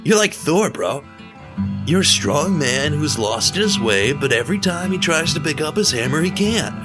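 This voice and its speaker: An actor playing a friendly, talkative young bartender with a laid-back manner, in an audio drama with music underneath. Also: male voice, fairly high pitch, sing-song, normal speed. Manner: laid-back, talkative, says bro a lot. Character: friendly chatty male bartender who calls everyone bro.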